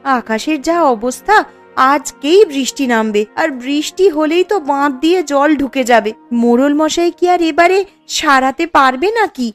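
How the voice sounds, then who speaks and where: A woman's voice: high voice, lively intonation, normal speed, soft background music. A woman voicing a worried young village wife in a cartoon, anxious and fretting. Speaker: cartoon character.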